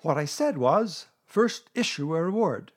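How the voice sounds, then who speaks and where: Man putting on a loud, high, pompous owl. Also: very animated, brisk, breathy edge, exaggerated voice. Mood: indignant. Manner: pompous, emphatic, declaiming. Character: pompous, self-important, loud talking owl. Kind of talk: children's storybook character voice.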